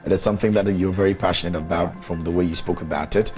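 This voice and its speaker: A man's voice: low voice, fairly even intonation, quick pace, a little mellow, faint music behind. A man hosting a morning TV show, chatty and teasing, chatting casually with a guest in a studio. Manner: chatty, teasing, laughs often.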